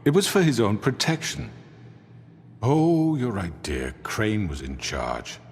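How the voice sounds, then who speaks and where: An actor playing a smooth-talking crime boss in a video game, speaking with theatrical, mock-polite oratory. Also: male voice, lowish voice, expressive, takes it slowly, faint music behind. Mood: sly, needling. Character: smooth-talking male crime boss defending himself before townsfolk. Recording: echoey hall reverb.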